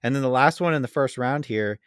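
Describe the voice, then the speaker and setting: A man's voice: medium pitch, fairly quick, fairly bright tone, clean tone, no room sound, clean studio sound. A man hosting a casual podcast debate in an easygoing, agreeable, chatty way. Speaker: podcast host. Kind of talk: casual chat, moderating a debate.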